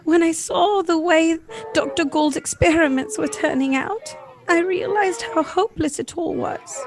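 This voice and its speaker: A woman's voice: extremely high pitch, a little slow. A woman playing an anguished, remorseful wife, earnest and melodramatic in a 1930s-style radio drama. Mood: tearful remorse. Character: anguished, remorseful wife pleading with her husband.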